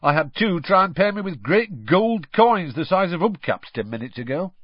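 A gruff, suspicious country campsite keeper, loud and blunt, raised in pitch, as a male narrator puts him on. Husky grain, dry dull lo-fi sound. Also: very animated, normal speed, no room sound. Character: suspicious, blunt rural campsite owner man. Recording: slightly dull lo-fi audio.

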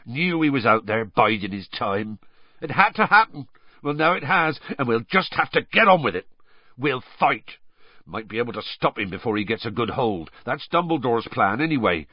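A big, gruff gamekeeper, acted out by a male audiobook reader, fond and hearty, with a very lively, swooping delivery. He talks in loose colloquial phrases. The recording is dry and slightly dull. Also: mid-range voice, dry recording, voice pushed up, lightly acted. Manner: gruff, colloquial, dropped words. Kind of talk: audiobook character voice.

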